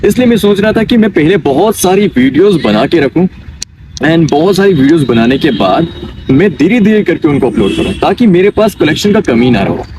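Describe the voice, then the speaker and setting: A young man from Kishtwar explains himself earnestly in an interview, circling back over his points. His fairly high voice moves up and down a lot, over loud outdoor traffic noise. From Kishtwar, Jammu and Kashmir, India. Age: young adult. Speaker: interviewee, amateur dubbing and mimicry artist. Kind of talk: informal interview answers. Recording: noisy outdoor recording, distant mic, traffic.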